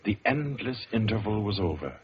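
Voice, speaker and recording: A man narrating an old-time radio drama in a measured, formal storytelling style. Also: lowish voice, big pitch swings, slight background noise. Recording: muffled old radio, loud music behind.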